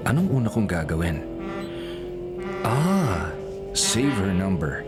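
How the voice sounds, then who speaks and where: Romantic young filipino prof, low voice that swings around a lot, loud music under it. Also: male voice. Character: lovestruck young substitute professor, earnest romantic man. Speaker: radio drama actor. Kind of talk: Filipino romantic radio drama acting.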